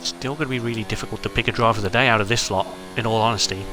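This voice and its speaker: A man's voice: lowish voice, fairly even intonation, rapid-fire, noisy background. A man giving live, chatty commentary on an online racing league, joking about the drivers and reading out chat messages. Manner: chatty, wry, reads chat aloud.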